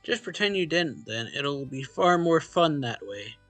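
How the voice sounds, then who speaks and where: A man's voice: a little high, animated, unhurried, fairly bright tone, dry recording, soft background music. A man hosting a let's play, reading a visual novel's narration and dialogue aloud and casually acting out the lines.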